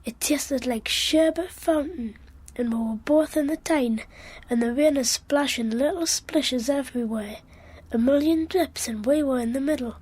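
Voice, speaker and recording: A woman's voice: high voice, a little slow, darkish tone, no room sound. A woman playing an earnest, inquisitive little Geordie boy in a radio drama, speaking in a naive, wide-eyed way. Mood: dreamy, earnest. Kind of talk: radio drama monologue and dialogue.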